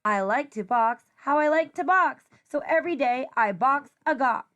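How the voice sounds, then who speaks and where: A woman teacher reading a rhyming children's picture book aloud in an expressive, sing-song way. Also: sits high, normal speed.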